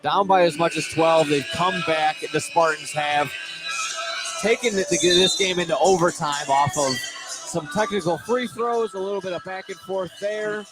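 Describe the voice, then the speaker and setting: High-voiced man calling basketball over loud music. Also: normal speed, poor audio. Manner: excitable, stumbles and repeats words. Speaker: play-by-play sports announcer. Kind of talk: live basketball radio commentary. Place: loud high school gym fieldhouse. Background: loud thumping music.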